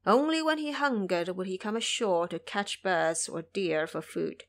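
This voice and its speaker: A humble god of the soil, voiced by a woman in an audio drama. He talks with measured, respectful care, as one addressing a superior. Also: medium pitch, natural voice. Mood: solemn, explaining. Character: deferential, slow-spoken male local earth and mountain gods. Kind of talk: audio drama character acting.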